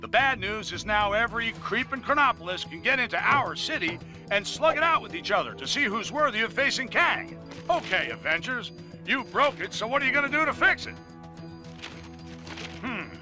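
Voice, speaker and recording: Blustering sarcastic reporter, high pitch, swooping delivery, rapid rant, game cutscene, music. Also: male voice, big pitch swings. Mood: sarcastic scolding. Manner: brash, rapid, scolding rant. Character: blustering, sarcastic newsman railing at superheroes. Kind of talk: comic video game monologue.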